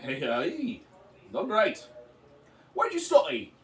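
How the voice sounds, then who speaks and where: Man playing a crude British lad with a put-on accent: lively, recorded far off in a roomy space. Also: sits high, takes it slowly, full character voice. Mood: rowdy. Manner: rowdy, crude, mocking. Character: crude rowdy British bloke, adult man. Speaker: podcast co-host. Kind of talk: improvised comedy bit.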